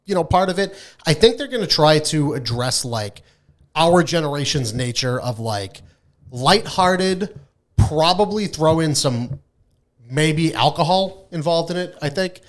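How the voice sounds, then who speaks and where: A man's voice: fairly high pitch, expressive, measured pace. A man co-hosting a podcast in casual, irreverent chat, brash and emphatic, swearing freely. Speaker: podcast co-host.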